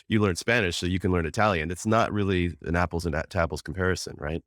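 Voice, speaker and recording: A man's voice: sits low, steady tone, fast, fairly bright tone, no echo, studio quality. An American man hosting an interview, friendly and enthusiastic, rambling with lots of restarts and fillers. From United States. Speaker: podcast host, interviewer. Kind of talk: casual conversational interview.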